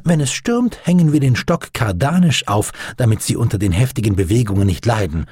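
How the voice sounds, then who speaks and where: A man voicing an eccentric, excitable naval doctor in an audiobook, with an eager, enthusiastic manner. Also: medium pitch, fairly quick, breathy edge, no echo. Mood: blithe, excited.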